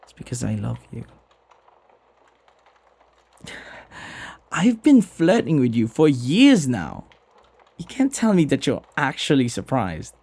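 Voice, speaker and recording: A man playing a devoted, flirty butler in an intimate ASMR roleplay, tender and hesitant, often sinking into a breathy whisper. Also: mid-range voice, dark tone, heavily acted. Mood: earnest, flirtatious. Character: flirty lovestruck male butler, devoted and deferential.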